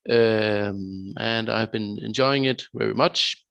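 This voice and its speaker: A man's voice: lowish voice, steady tone, slow, gravelly, fairly bright tone. A man in his thirties from Denmark, a guest giving a hesitant introduction full of fillers. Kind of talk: casual chat.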